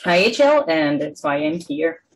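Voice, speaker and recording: A woman researcher introducing herself informally at an academic workshop, with halting, hesitant phrasing. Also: medium pitch, very animated, vocal fry.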